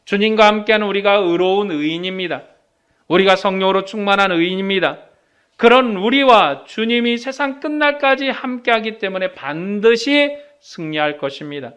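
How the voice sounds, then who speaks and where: A man's voice: high voice, a bit bright, clear, resonant tone. A man preaching a sermon, emphatic and declamatory, posing rhetorical questions and answering them, with phrases that swell and then fall away. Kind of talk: sermon to a congregation.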